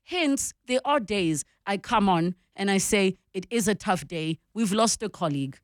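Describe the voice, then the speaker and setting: A South African woman hosts and advises in conversational style, with a mid-pitched voice. She explains things in an encouraging way, and the sound is dry with very little room. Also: lively intonation, moderate pace, no echo. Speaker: radio host and mentor. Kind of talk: conversational advice and interviewing.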